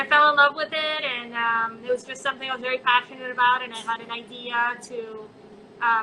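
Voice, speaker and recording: A woman's voice: sits high, pushed and bright, slight background noise, poor audio. A woman who grew up in Colombia, a chocolate maker chatting in an online interview, talking casually with many fillers. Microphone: phone on a live video chat.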